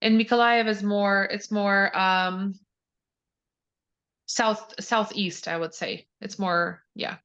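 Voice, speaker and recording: A woman's voice: mid-range voice, steady tone, takes it slowly, a bit bright. A woman from Ukraine answering interview questions, hesitant, with many fillers and restarts. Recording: dull lo-fi audio.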